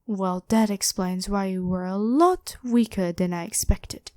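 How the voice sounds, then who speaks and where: A woman's voice: medium pitch, measured pace, darkish tone, no room sound, lightly acted. Woman acting a haughty, evil pharaoh queen, dry close sound. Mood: imperious, menacing. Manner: haughty, commanding, theatrically scornful. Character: evil ancient female pharaoh, imperious, demanding, controlling and ruthless. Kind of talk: scripted ASMR roleplay addressed to the listener.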